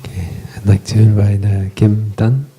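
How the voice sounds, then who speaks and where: Middle-aged Western man, low mellow voice, halting moderator with lots of ums. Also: level tone, slightly rough. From Western country. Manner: hesitant, many fillers and restarts. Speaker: retreat panel moderator. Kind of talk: casual introduction to a gathering.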